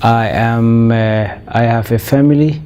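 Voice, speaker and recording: Somali-born man, mellow low voice. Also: fairly even intonation, clear, resonant tone, faint music behind. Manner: measured, with frequent uh fillers and restarts. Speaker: interview guest, business owner. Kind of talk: interview answers.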